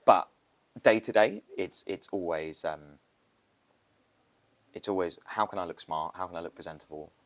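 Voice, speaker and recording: British man, very low voice, casual rambling podcast guest, photographer. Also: moderate pace, long pauses. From Britain. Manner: loose, rambling, with restarts and asides. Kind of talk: casual interview talk.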